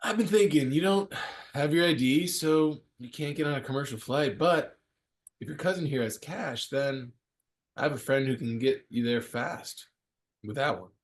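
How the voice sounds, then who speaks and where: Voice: mid-range voice, normal speed, close to an everyday voice. An actor playing a casual, loyal and eager young guy in a script table read. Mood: earnest, casual.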